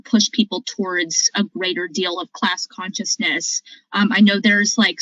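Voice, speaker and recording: A woman's voice: mid-range voice, fairly bright tone, no room sound. Hesitant woman on a dry call line. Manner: hesitant, full of fillers. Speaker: class participant. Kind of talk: asking a question in an online class. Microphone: video call. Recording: dry, dull call audio.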